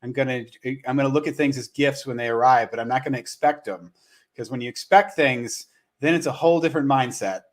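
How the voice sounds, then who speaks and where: A man hosting a casual live-stream conversation, speaking earnestly and haltingly, often repeating his words as he goes. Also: medium pitch. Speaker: host.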